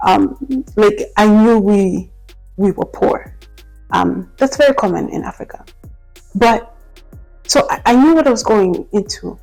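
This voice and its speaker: A woman's voice: mid-range voice, a little slow, pauses often, a little mellow, soft background music, low-quality recording. A woman in her twenties, from the Democratic Republic of Congo, talking candidly and reflectively in a personal vlog, with lots of "like" and restarts. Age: 20s. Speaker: vlogger.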